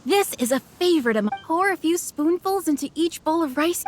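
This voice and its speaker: An actress playing a courteous, helpful young waitress at an inn in a video game. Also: female voice, very high-pitched, animated, fairly quick, slight background noise. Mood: polite, informative. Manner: courteous service patter. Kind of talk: video game character acting.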